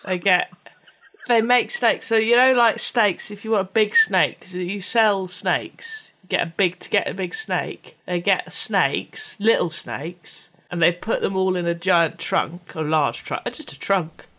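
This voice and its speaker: Deadpan woman podcaster. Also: lowish voice, a little slow. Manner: deadpan, absurd storytelling. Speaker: podcast co-host. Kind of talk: casual comedic chat.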